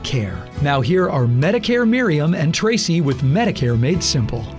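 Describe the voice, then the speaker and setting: A man's voice: fairly high pitch, sing-song, moderate pace. A man announcing the opening of a radio show in a polished, scripted delivery. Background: soft guitar music.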